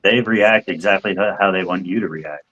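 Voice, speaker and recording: A man's voice: sits low, normal speed, a bit bright, dull audio. A man hosting a casual stream chat, joking and provocative, laughing often. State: high. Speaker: stream host.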